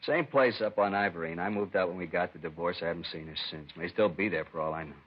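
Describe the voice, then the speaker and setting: An actor playing a sick, evasive, pleading drug addict under arrest in a 1950s radio crime drama, slangy and defensive. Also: male voice, low-pitched, fast, slightly rough. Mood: sullen. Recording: dull, lo-fi vintage radio recording.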